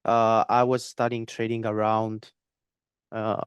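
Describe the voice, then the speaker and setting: Young male guest, clear resonant tone, dry close sound, halting delivery with fillers. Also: fairly low pitch, monotone, no echo, dull audio. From Spain. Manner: hesitant, repeats words, many fillers. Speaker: guest trader. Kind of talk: interview conversation.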